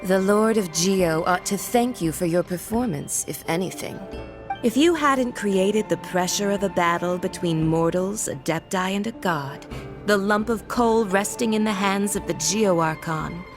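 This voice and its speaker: A woman's voice: on the low side, normal speed, lightly acted. An actress playing a coolly imperious, mocking female villain in a video game, elegant and condescending. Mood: amused, mocking. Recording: prominent background music.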